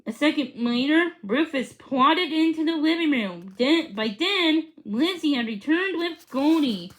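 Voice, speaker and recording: Female storybook reader, very high voice, unhurried and halting, with small stumbles. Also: animated, poor audio. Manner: halting, with stumbles and re-read words. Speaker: reader of a children's book. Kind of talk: read-aloud of a children's storybook.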